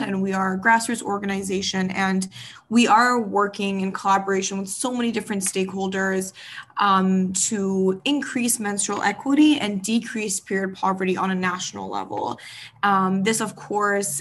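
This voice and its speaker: A woman's voice: mid-range voice, fairly bright tone, slight background noise. A woman being interviewed over a video call, speaking earnestly with frequent "um"s. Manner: earnest, filler-heavy. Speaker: associate director of a nonprofit. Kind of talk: interview guest.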